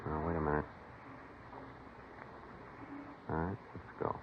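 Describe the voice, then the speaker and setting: Very deep-voiced, deadpan police detective. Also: male voice, fast talker, heavy background noise. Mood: terse. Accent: American. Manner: deadpan, clipped, matter-of-fact. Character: terse, businesslike male police detective narrating a case. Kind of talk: 1950s radio crime drama acting, deadpan narration. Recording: muffled vintage radio recording with music.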